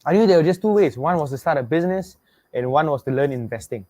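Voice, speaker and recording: A man's voice: fairly high pitch, fast, no echo. Upbeat Singaporean man, dry close sound. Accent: Singaporean English. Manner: energetic, repeats himself, asks the audience to type in replies. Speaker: webinar host. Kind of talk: motivational webinar pitch.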